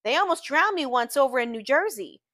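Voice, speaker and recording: A woman's voice: high-pitched, animated, brisk, fairly bright tone, no room sound, clean studio sound, voice pushed up. A woman voicing a drunken, indignant young woman in an audiobook, sullen and quarrelsome.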